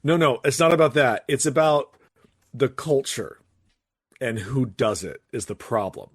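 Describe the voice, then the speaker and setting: A man's voice: mid-range voice, a little slow, slightly rough, no room sound, studio quality. A man co-hosting a podcast, chatting and joking with his friends. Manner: animated, joking, steering the talk. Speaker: podcast co-host. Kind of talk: casual chat on a live stream.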